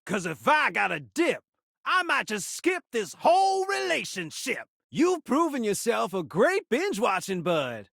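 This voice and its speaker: A man's voice: very high voice, gravelly, a bit bright, dry recording, clean studio sound, exaggerated voice. A man voicing a showy, flirty talking television set in a video game, rhyming with theatrical showman flair. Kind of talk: video game character voice acting.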